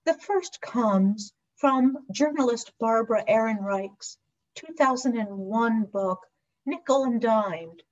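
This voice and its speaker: Middle-aged woman deacon, slow deliberate sermon delivery, dull lo-fi webcam audio. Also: mid-range voice, a little mellow. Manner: measured, reflective preaching. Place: home video call with virtual scenic backdrop. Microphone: webcam microphone on a video call. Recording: dull lo-fi video call audio.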